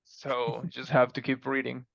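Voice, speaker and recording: A man's voice: mid-range voice, flat delivery, a little slow, creaky, no echo. A man chatting casually as a guest author on a podcast, on slightly lo-fi audio. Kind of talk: casual interview chat.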